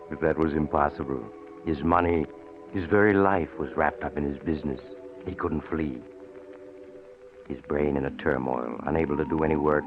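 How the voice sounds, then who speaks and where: An actor playing the ominous storyteller of an old radio mystery series, delivering scripted suspense narration. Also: male voice, very deep voice. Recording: tinny old radio recording. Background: prominent music.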